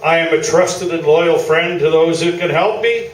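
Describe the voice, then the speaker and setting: An actor playing a smug, self-promoting veteran sports columnist, pompous and falsely modest, in a staged radio play. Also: male voice, on the high side, moderate pace, faint music behind, lightly acted. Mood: smug, mock-sorrowful. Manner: pompous, mock-sincere. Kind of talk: staged radio play interview before an audience. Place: small theatre, live staged performance. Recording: roomy distant stage recording with noise.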